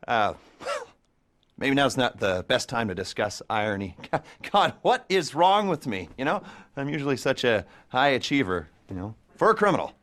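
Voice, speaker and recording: An awkward, wry crime planner. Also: male voice, mid-range voice, a bit bright. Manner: awkward, self-mocking, laughs nervously. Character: nervous, sardonic male criminal mastermind. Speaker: heist planner briefing a crew. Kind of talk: video game cutscene dialogue.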